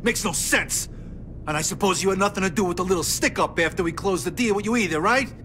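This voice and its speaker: An actor playing a young, level-headed American mobster in a video game, talking in terse, sardonic street talk. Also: male voice, sits high, fast, pushed and bright, faint music behind. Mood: exasperated. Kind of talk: dramatic video game acting.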